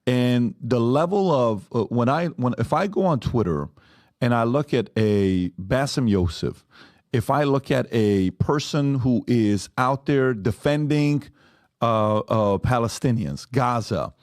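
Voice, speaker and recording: A man's voice: mid-range voice, lively intonation, measured pace, darkish tone, no echo, clean studio sound. Rambling male interview host. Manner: rambling, restarts and fillers while framing questions. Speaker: podcast host. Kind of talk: conversational interview questions.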